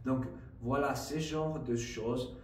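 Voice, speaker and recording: Slow-talking man with non-native French. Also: mid-range voice, fairly even intonation, darkish tone, recorded from a distance, slight background noise. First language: not French. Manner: slow, halting, many fillers and "d'accord?" checks. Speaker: trading vlogger. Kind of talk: casual explanatory vlog. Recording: roomy, voice a bit off-mic, faint ambience.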